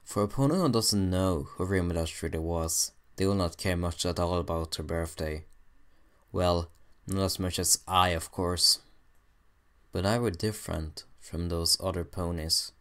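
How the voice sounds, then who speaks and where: A man's voice: low voice, unhurried, fairly bright tone. A man reading a fan fiction story aloud in first person, hesitant and stumbling at times. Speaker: amateur story reader.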